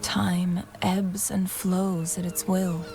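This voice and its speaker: An actress playing a composed, mysterious young woman strategist in a fantasy video game, speaking softly in measured, formal phrases. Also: fairly low pitch, level tone. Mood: grave, composed. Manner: measured, unhurried, formal, soft-spoken. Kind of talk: video game voice acting, storytelling dialogue. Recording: music and rain behind the voice. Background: game music, rain.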